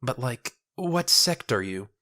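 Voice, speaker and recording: A man's voice: mid-range voice, expressive, slow pace, pushed and bright, voice pushed up. A man voicing a weary, cynical woman law officer in an audio story, guarded and sardonic, with colloquial phrasing. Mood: distracting, wary.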